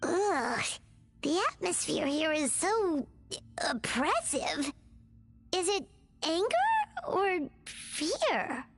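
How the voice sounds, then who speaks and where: An excitable little fairy companion, chirping in a very high, squeaky, childlike voice that leaps up and down. She refers to herself by name and sounds like a cartoonish video game sidekick. Soft game music plays under her. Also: very slow, heavily acted. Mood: uneasy.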